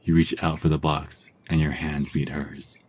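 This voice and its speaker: A man narrating a second-person ASMR story in a calm, soft-spoken, husky voice. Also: rumbling low, moderate pace, slight background noise.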